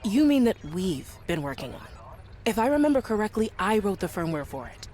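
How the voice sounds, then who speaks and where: An actress playing a sardonic, tech-savvy young programmer in a video game, blunt and teasing with a dry manner. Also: female voice, medium pitch, sing-song, fairly quick, darkish tone, natural voice. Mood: exasperated. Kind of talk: video game character dialogue.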